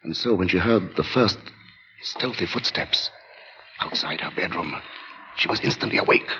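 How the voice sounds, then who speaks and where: An actor narrating a 1940s radio thriller in a measured, grave and ominous manner. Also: male voice, sits low, bright, forceful tone, slight background noise. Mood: ominous, tense. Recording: dull vintage radio recording with music.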